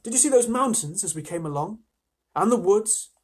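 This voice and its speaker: A man's voice: high voice, expressive, normal speed, husky, pitched above the performer's own voice. Man playing an eager, confident British schoolboy. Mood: excited. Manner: old-fashioned British schoolboy enthusiasm.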